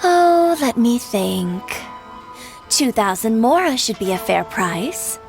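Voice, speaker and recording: Creaky-voiced, sly information broker. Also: female voice, fairly high pitch, sing-song, takes it slowly, full character voice. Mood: coy, amused. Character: sly, courteous female information broker with mercenary charm. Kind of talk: English game voice acting. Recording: clear music behind the voice.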